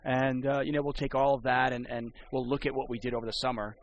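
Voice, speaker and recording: A man's voice: mid-range voice, little pitch movement, quick pace, highs cut off, slight background noise. A man who works as a poker and sports broadcaster, chatting casually on a podcast and rambling with many restarts.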